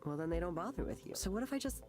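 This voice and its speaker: An actress playing a frustrated, burnt-out young artist confiding in a counsellor in a visual novel game, with a naturalistic delivery. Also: female voice, fairly low pitch, animated, very fast, darkish tone, soft background music, natural voice. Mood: restless, disillusioned.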